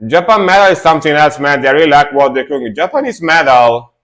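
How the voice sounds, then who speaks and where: A young adult man casually chatting with his stream chat while reacting to metal music, relaxed and talkative. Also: on the high side, fast. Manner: relaxed, chatty, answers chat, fillers and restarts.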